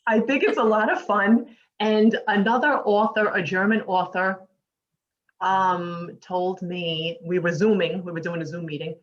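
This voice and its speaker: A woman with a New York accent hosting a casual interview, chatty and encouraging and quick to laugh. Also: on the low side, steady tone. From United States. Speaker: host. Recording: muffled lo-fi audio.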